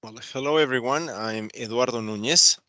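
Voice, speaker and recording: A man with a Peruvian Spanish accent introducing his engineering work on a webinar, earnest and halting with fillers. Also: mid-range voice, pushed and bright, dry recording. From Peru. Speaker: process control engineer.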